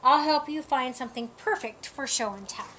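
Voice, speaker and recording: A woman's voice: sits high, normal speed, fairly bright tone, slight background noise, low-quality recording. A woman voicing a worried, bossy small male animal in a storybook, insistent and cautioning. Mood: bossy, helpful.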